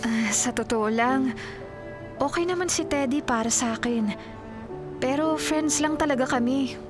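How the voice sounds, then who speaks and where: A woman's voice: high voice, measured pace. An actress playing a devoted, caring career woman in a Filipino radio drama, speaking earnestly with a Filipino accent. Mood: tender, devoted. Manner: earnest, solicitous. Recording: loud music behind the voice.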